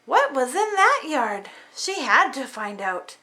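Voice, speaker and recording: A woman narrates a children's storybook with theatrical flair. Her voice is high and bright, with big swings in pitch. She tells it expressively, as if to very young listeners. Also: takes it slowly. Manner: animated, dramatic storytelling for small children. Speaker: storybook reader.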